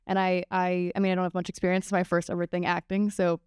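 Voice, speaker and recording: Young woman actor, earnest, halting, smooth, slightly low voice. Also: completely flat delivery, a little mellow, no room sound, studio quality. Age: early 20s. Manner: earnest, halting, many fillers. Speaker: actor on a panel. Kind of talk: casual panel Q&A talk.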